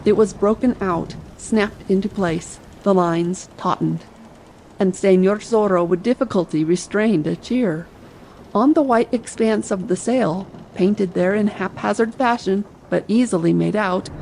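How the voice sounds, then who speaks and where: Gravelly-edged woman narrating an adventure novel. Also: medium pitch, poor audio. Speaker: audiobook narrator. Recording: faint ambient noise behind. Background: soft sea ambience.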